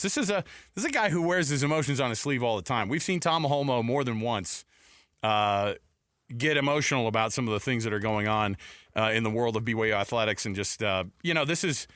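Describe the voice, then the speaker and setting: An American man hosting conversational sports talk radio, thinking aloud with halting repeats on a close studio mic. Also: medium pitch, fairly bright tone, no echo. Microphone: close studio broadcast mic.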